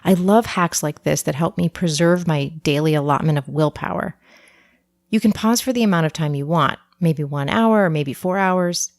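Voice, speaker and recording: A woman's voice: sits low, normal speed, no echo, studio quality. A woman hosting a podcast, affirming her guest and chatting through a short solo segment. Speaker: podcast host.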